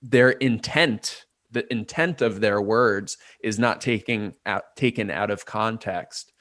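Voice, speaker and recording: A man's voice: lowish voice, slow pace, fairly bright tone, no echo, studio quality. A man, a guest in a casual interview, thinking aloud and weighing ideas from many angles. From Port Chester, New York. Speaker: guest, artist and designer.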